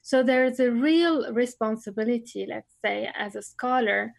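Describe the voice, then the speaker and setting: A middle-aged woman from Lebanon speaks as a scholar in an interview. Her voice sits fairly high and carries a gravelly edge. She pauses often with fillers and restarts her sentences, and the sound is dry and close. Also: no room sound. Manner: thoughtful, many fillers and restarts. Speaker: family business scholar. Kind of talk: interview answers.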